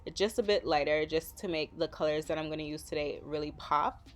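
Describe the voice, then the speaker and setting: A woman with a somewhat low voice talks viewers through a makeup look. Her delivery is easygoing and chatty, full of casual fillers, like a vlogger narrating over her own footage. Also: brisk. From Trinidad and Tobago. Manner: chatty, relaxed, with frequent fillers.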